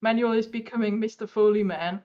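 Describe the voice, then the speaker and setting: A woman's voice: a little high, fairly even intonation, fairly quick. Dull-sounding woman on a voice call. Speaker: guest voice actor in a fandub. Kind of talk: casual livestream chat. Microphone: online voice call.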